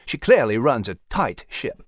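A woman's voice: a bass voice, big pitch swings, measured pace, husky, no echo, clean studio sound, full character voice. A woman voicing a genteel, jovial male animal in an audiobook, with pompous, old-fashioned politeness. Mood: amused, courtly. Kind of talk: audiobook character voice.

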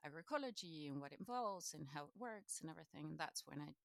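Low-pitched woman with a Chilean Spanish accent. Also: animated, fast talker, vocal fry, dry recording. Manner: enthusiastic, chatty. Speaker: interview guest, knowledge exchange manager. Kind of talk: casual conversational interview answers.

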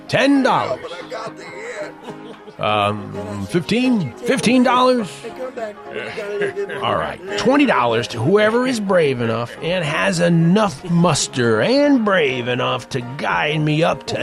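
An actor playing a nervous but persistent young reporter in a western audio drama, halting and pleading. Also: male voice, on the high side, creaky, exaggerated voice. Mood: nervous, determined. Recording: music behind the voice.

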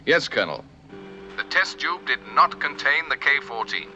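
Sharp-toned, precise scientist. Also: male voice, mid-range voice, big pitch swings, normal speed, lo-fi sound, faint music behind, heavily acted. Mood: clinical, certain. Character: precise, serious male scientist doctor running tests. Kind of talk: 1960s puppet TV sci-fi acting.

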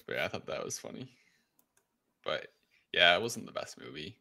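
Deep voiced guy co-hosting a casual movie review, lots of gravelly fry. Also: expressive, normal speed, bright, forceful tone. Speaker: podcast co-host. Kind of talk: casual film review discussion.

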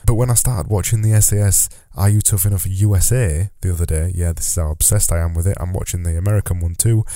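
A man's voice: low voice, quick pace, warm tone, dry recording, clean studio sound. A man with a cold and a nasal, stuffy voice, hosting a solo podcast in a rambling, self-deprecating but motivational way. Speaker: podcast host.

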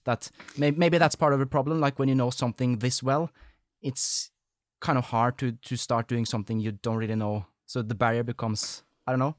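A man hosting an interview, asking questions haltingly with many fillers. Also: medium pitch, steady tone, dry recording, clean studio sound. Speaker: podcast host. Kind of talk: interview conversation.

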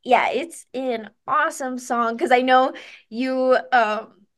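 A woman's voice: sits high, takes it slowly. A young adult woman, a singer and guest, talking casually and upbeat with lots of fillers and restarts. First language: English. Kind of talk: casual interview chat.

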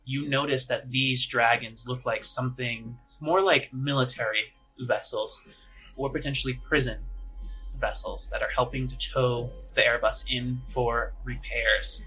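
A man's voice: mid-range voice, fairly even intonation, a little slow, bright, forceful tone, soft background music, poor audio. A man narrating a tabletop role-playing game as game master in a conversational, descriptive, improvised way.

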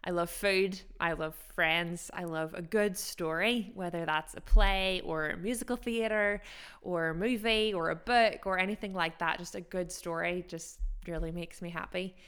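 A woman's voice: on the low side, normal speed, fairly bright tone, smooth. A young adult woman with a mixed American, Scottish and Northern Irish accent, co-hosting a casual podcast chat in a chatty, self-deprecating way. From Japan-born, raised in America. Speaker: podcast co-host.

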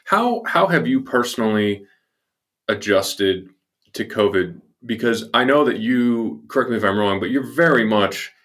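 A man's voice: medium pitch, lively intonation, unhurried, a bit bright. A man hosting an interview, attentive as he leads with questions. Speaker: interviewer, host. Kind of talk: interview conversation.

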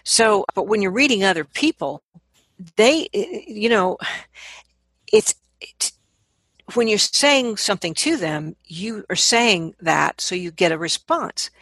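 A woman's voice: mid-range voice, lively intonation, takes it slowly, fairly bright tone, slightly rough, dry recording. A woman speaking as a vocal coach in a conversational interview, explaining her points with emphasis and repeating words to drive them home.

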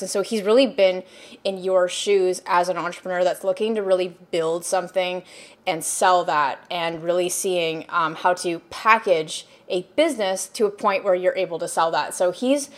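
A woman hosts a business podcast in an upbeat, talkative manner. She rambles a bit and fills pauses with ums as she introduces her guest. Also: fairly low pitch, fairly even intonation. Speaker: podcast host. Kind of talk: casual podcast interview.

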